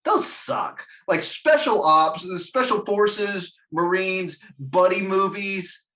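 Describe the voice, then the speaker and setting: A man's voice: high-pitched, slow pace, bright, forceful tone. A man chatting casually as a panelist in a film discussion, ranting bluntly and swearing freely. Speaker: podcast panelist.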